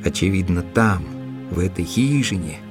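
An actor playing an earnest gentleman doctor in an audio drama, narrating and questioning in a measured, serious, deliberate way. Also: low-pitched, lively intonation, over music. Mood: brooding, reflective.

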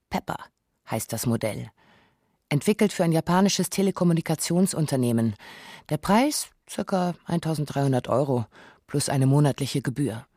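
A man's voice: fairly high pitch, a little mellow, no room sound, studio quality. A man narrating a scripted radio documentary in a wry, musing manner.

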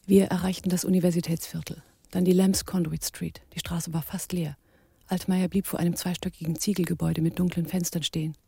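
An actor playing the husky narrator of a crime radio play. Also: male voice, high voice, flat delivery, a little fast, dark tone, heavily acted. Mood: hushed.

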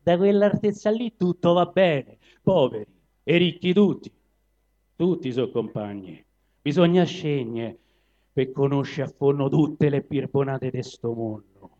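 A man's voice: medium pitch, measured pace, warm tone, voice pushed down, lightly acted. A man doing a weary, sober bear. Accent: Roman. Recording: dull live recording.

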